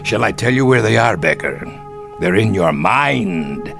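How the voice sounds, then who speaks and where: Arrogant camp commandant, radio drama, sneering, agitated, slow-paced, gravelly, forceful, music underneath. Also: male voice, lowish voice, lively intonation. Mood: bitter, scornful. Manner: theatrical, sneering, agitated outbursts. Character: arrogant former Nazi camp captain, sneering, unraveling into panic. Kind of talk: classic radio drama acting.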